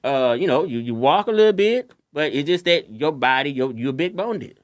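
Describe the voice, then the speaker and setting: A man with a light voice chatting casually on a podcast, animated and quick to mimic others. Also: dry recording. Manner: animated, shifts into high mocking voices when quoting others. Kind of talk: casual chat.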